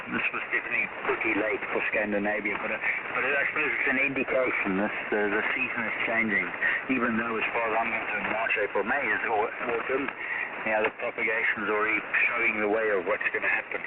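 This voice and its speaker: Through thick static and a thin, narrow radio band comes a man with a fairly low voice. He is an amateur radio operator chatting casually over shortwave, rambling through technical talk with hesitant phrasing. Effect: shortwave radio transmission. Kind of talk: casual radio contact chat. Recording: noisy narrowband shortwave ham radio reception. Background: loud radio static.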